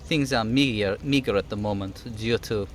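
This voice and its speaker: A man's voice: on the low side, moderate pace, a little hiss or hum, close to an everyday voice. Man putting on a jolly, folksy union striker. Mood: friendly.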